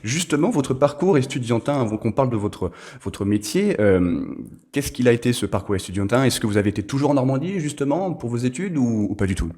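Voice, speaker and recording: A man's voice: medium pitch, animated, brisk, warm tone. A man hosting a formal podcast interview, speaking in a hesitant, wordy and courteous way. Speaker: podcast host.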